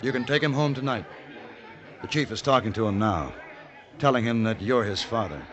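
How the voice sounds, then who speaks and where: A man playing a suave, self-assured gentleman gunfighter in a 1950s radio western, with a smooth, courtly, dry delivery. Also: medium pitch, moderate pace, highs cut off, a faint crowd, lightly acted. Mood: calm, firm. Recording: vintage radio drama recording.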